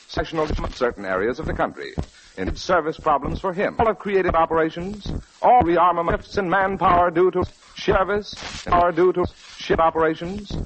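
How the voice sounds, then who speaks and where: An American man delivers a formal, earnest 1940s radio commercial. His fairly high voice moves with very lively intonation. The old broadcast is audibly noisy. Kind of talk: 1940s radio advertising read.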